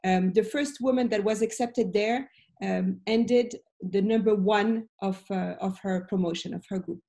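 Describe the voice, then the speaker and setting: French lady, late 40s, professor chatting on a video call, soft-edged mellow voice. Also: medium pitch, steady tone, measured pace. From France. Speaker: business professor, interviewee. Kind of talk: conversational interview answers. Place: indoor room at home. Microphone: video call, computer microphone.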